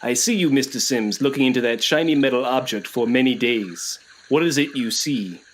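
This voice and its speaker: A man acting in a radio play as a quietly commanding jungle tribe leader, measured and formal in speech. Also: mid-range voice, slight background noise, lightly acted. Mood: courteous. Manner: measured, formal, sparing with words.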